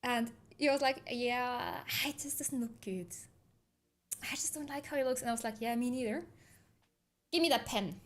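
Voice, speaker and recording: A woman's voice: a little high, normal speed. A woman, chatting casually on a livestream, reading viewer comments aloud and telling rambling stories with frequent laughs. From Switzerland. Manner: chatty, rambling storyteller who laughs often.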